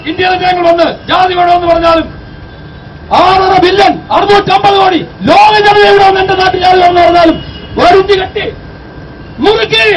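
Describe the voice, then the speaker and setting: A man giving an impassioned public address, exhorting listeners with rhetorical questions in a declamatory manner. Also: extremely high pitch. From Kerala, India. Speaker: activist, public speaker. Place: outdoor street rally. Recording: distant mic, outdoor street noise.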